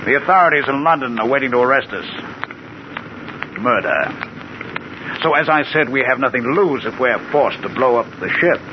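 A man's voice: mid-range voice, animated, moderate pace, pauses often, background noise, natural voice. Man acting a menacing gang leader, muffled old radio. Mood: cool menace. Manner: threatening, commanding. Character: coolly menacing male leader of a pirate gang.

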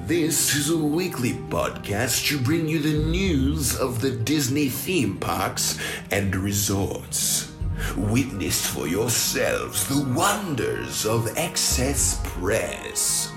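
A man delivering a theatrical scripted podcast intro in a grand, drawn-out showman manner. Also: mid-range voice, big pitch swings, over music.

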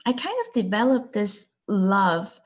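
A woman's voice: mid-range voice, unhurried, slightly rough. Chatty Taiwanese-born woman telling her story. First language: Chinese. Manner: chatty, storytelling, laughs often. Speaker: guest, clinic co-owner. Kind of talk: casual interview chat.

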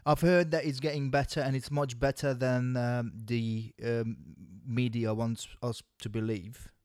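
A man co-hosting a casual podcast chat. He stumbles over the first words of a thought, then hurries through long explanations. His voice sits mid-pitch, and the sound is dry. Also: level tone, a little mellow, clear, resonant tone, no room sound. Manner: stammers on starts, then rushes through long points. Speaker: podcast co-host.

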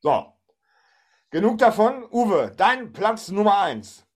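Podcast host, male, slightly high voice, loose conversational delivery. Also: very animated, slow. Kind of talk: casual chat.

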